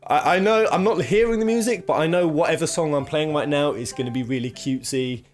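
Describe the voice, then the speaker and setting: A man's voice: fairly high pitch, fast talker. A man hosting a countdown video with casual, unscripted commentary, talking in an emphatic, jokey, sweary, slangy way. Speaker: video host presenting a game countdown. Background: game soundtrack music.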